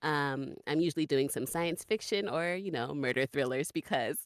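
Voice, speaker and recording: A woman's voice: low-pitched, normal speed, no echo, clean studio sound. A woman guest who narrates audiobooks, chatting casually in an easygoing, good-humoured way. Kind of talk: casual chat.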